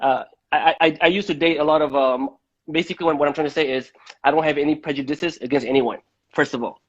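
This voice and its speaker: A man's voice: on the high side, fairly even intonation, quick pace. A man from Malaysia hosting a casual live-stream interview, often repeating words before he goes on. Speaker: host of a live-stream debate. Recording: dull lo-fi live-stream audio.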